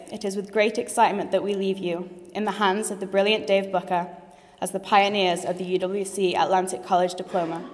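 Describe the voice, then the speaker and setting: A graduating girl in her late teens speaks at a leavers' assembly, earnest and heartfelt, with the odd joke. Her voice sits at an ordinary middle pitch for a young woman. The sound carries the long reverb of a large hall. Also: darkish tone. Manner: earnest and heartfelt, with light jokes. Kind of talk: formal graduation speech.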